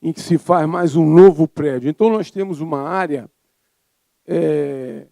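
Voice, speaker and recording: A man's voice: fairly high pitch, measured pace, gravelly, mellow. A Brazilian man in his seventies, a physician giving an informal, reflective talk as a presenter at a congress. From Rio de Janeiro, Brazil. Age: 70s.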